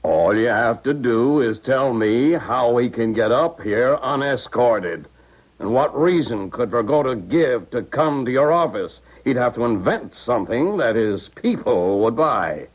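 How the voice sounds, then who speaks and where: An actor playing an irritable, no-nonsense American government agent, brusque and impatient, in a 1970s radio drama. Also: male voice, mid-range voice, lively intonation, measured pace. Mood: irritated, brusque. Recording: muffled old radio broadcast.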